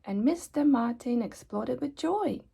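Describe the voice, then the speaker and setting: A woman reading a children's story aloud expressively, with dramatic emphasis and pauses. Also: high-pitched, lively intonation, creaky, background noise. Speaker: storybook reader.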